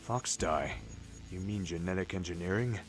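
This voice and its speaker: An actor playing a dry, defiant older military colonel with a sardonic manner in a radio drama. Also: male voice, low-pitched, normal speed, faint music behind, close to an everyday voice. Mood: tense.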